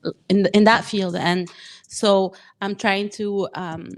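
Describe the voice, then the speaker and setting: A young woman from Morocco, a guest chatting casually in an interview, talking in quick restarts with many fillers. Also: medium pitch, fairly even intonation. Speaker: guest, former municipal candidate.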